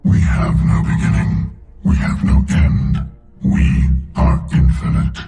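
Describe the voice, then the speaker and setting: A man's voice: extremely low pitch, flat delivery, slow pace, creaky, heavily acted. A man playing an ancient, arrogant machine intelligence in a video game, speaking in grandiose, cold, contemptuous declarations. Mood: cold menace. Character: ancient godlike sentient machine, arrogant, menacing destroyer of organic life. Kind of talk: video game villain voice acting. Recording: echoing, loud music behind.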